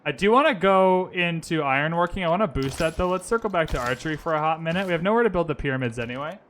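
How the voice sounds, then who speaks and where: Bright-voiced man chatting over a strategy game. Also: a little high, expressive, quick pace, a little hiss or hum. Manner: chatty, thinks aloud, chats with viewers. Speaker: streamer playing a strategy game. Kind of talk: casual gaming commentary. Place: lives in Vancouver.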